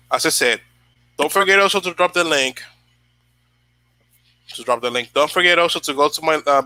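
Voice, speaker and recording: A man's voice: mid-range voice, pushed and bright, smooth, no echo, rough recording. A young man from the Dominican Republic hosting a casual motivational livestream, rambling with many fillers and restarts while he answers viewers. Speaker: channel host.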